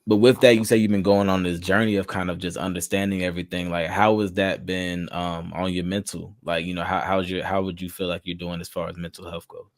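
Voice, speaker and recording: A man with a low voice hosts a podcast interview. He talks in a relaxed, easygoing way and asks loose, casual questions. The recording is dry and close. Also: a little fast, hardly any pauses, no room sound. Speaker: podcast host.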